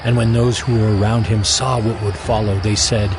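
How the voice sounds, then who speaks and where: Low-voiced man narrating scripture. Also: little pitch movement, normal speed, noisy background.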